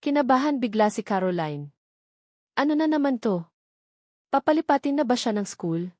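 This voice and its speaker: A woman's voice: on the high side, big pitch swings, normal speed, a little mellow, no room sound, clean studio sound. A woman with a Filipino accent narrating a scripted dramatic story in an emotive storytelling manner.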